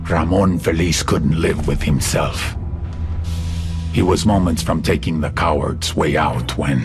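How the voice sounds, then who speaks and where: An actor playing a cold, philosophical drug lord, narrating in a measured, ominous way. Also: male voice, very deep voice. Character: cold, menacing, philosophical male drug cartel boss. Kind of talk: scripted, brooding narration. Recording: loud score under the voice.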